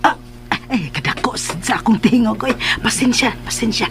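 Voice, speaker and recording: A woman's voice: a little high, expressive, moderate pace, over loud music. A woman playing a chatty, candid motherly older woman in a radio drama, exclaiming and drawing out her words.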